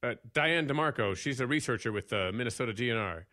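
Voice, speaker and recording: Man acting an earnest, halting park ranger, swooping pitch. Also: lively intonation, bright, forceful tone. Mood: urgent pleading. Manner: halting, stammering, pleading. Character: earnest, shaken male park ranger, hesitant under pressure. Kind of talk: audio drama acting.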